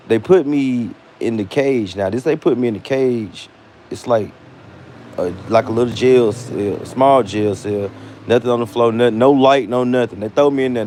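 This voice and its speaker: American male vlogger, casual storyteller, slangy and animated, mid-pitched voice. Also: slight background noise. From United States. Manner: animated retelling with slang and acted-out dialogue.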